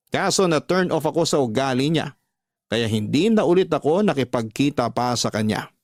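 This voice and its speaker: A man's voice: medium pitch, dry recording, studio quality, close to an everyday voice. An actor playing a heartbroken, self-reflective man who tells his love story in a radio drama. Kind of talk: dramatized first-person scripted radio narration.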